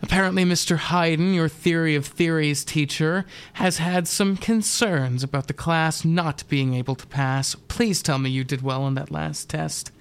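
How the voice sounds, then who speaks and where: A man's voice: on the high side, steady tone, moderate pace, hardly any pauses, clear, resonant tone, close to an everyday voice. A man voicing a middle-aged mother in a radio drama, strained and fussy, clinging to cheer. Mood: weary, concerned. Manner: fussy, placating, exasperated.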